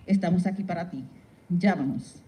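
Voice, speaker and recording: A woman's voice: fairly low pitch, quick pace, heavy background noise. A woman giving a heartfelt public address, appealing directly to her listeners. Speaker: crisis counselor, bilingual speaker. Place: speaking at a public event.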